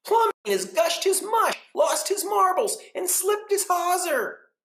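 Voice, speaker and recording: A man voicing indignant, scolding neighbours from a children's storybook, with drawn-out, exaggerated complaints. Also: very high-pitched, very animated, a bit bright, roomy sound, pitched above the performer's own voice, exaggerated voice. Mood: mocking, scandalized.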